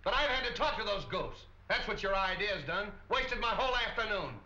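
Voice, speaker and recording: A man's voice: high-pitched, animated, fairly quick, pushed and bright, full character voice. An American man in his thirties playing an impatient, sarcastic police captain in a 1940s film. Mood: irritated. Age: 30s. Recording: dull, noisy, echoey 1940 film soundtrack.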